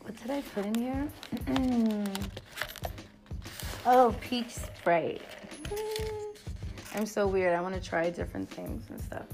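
A woman's voice: mid-range voice, a little mellow. Chatty young New woman vlogging. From New York. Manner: chatty, rambling, slangy. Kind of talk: casual chat to camera.

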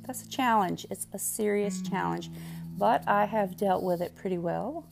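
A young woman with a Southern US accent, chatting candidly and rambling on her solo podcast, full of fillers and pauses. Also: medium pitch, creaky, music in the background. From Southern United States. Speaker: podcast host.

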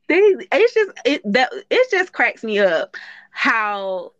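A woman's voice: fairly high pitch, very animated, very slow, fairly bright tone. A woman guest co-host chats freely on a podcast, laughing and restarting her sentences as she thinks aloud. Her audio sounds muffled and lo-fi. It is dry, with no room echo. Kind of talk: casual chat.

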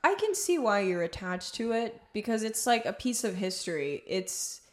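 Animated woman with a lilt. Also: mid-range voice. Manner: animated, laughs easily, quick bursts. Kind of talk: casual conversation.

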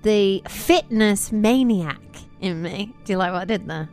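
A woman's voice: medium pitch, unhurried, a little mellow, faint music behind. Playful woman co-host. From York, England. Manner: playful, self-mocking, sings jingles. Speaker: podcast co-host. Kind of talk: casual podcast chat.

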